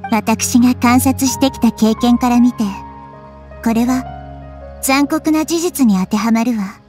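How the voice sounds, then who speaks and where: A woman voicing a small, wise, gentle childlike goddess in an anime game, speaking in a measured, thoughtful way. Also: on the high side, natural voice. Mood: thoughtful, troubled. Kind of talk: Japanese anime game voice acting. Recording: studio voice over game music.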